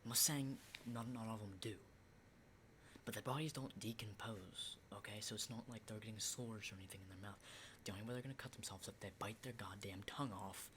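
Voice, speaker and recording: A man playing an unsettling, lonely, hardened apocalypse survivor in an ASMR roleplay, speaking in a halting, breathy whisper and soft voice. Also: lowish voice, slight background noise, lightly acted. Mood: fearless, reassuring. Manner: hushed, halting, repeats words, mild swearing.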